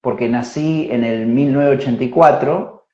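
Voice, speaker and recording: Unhurried man talking in a roomy space. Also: mid-range voice, darkish tone. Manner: unhurried, thinking aloud with many fillers and tag questions. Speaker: spiritual teacher answering questions. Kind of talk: reflective explanatory talk. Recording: roomy, dull lo-fi sound.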